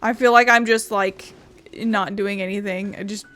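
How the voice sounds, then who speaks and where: A woman's voice: medium pitch, steady tone, normal speed, fairly bright tone. A woman doing casual, rambling video game commentary with playful asides. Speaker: streamer playing a video game. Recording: game music behind voice.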